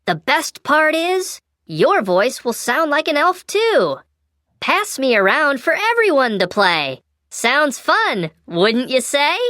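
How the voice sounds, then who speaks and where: Cheerful toy elf, storybook narrator for kids: crisp bright tone, big pitch swings, measured pace. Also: female voice, no room sound, studio quality, full character voice. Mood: perky, inviting. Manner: perky, animated, exaggerated storybook delivery. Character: cheerful little Christmas elf toy telling fill-in-the-blank stories. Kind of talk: scripted children's storytelling and toy prompts.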